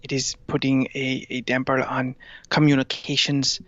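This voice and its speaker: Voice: mid-range voice, completely flat delivery, normal speed, a bit bright, no echo, lightly acted. Chonky villain tomcat, acted by a performer, formal and grandiose, comedy podcast, muffled dry sound. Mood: grave, scheming. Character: fiendish, fat male house cat plotting world domination. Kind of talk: improvised comedy interview in character.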